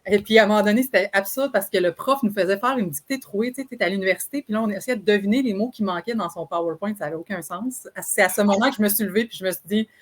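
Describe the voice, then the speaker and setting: A woman from Beauce with a Quebec French accent, a sexologist guest telling her story in a lively, animated way with easy laughter. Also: medium pitch, quick pace, hardly any pauses. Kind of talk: casual interview storytelling.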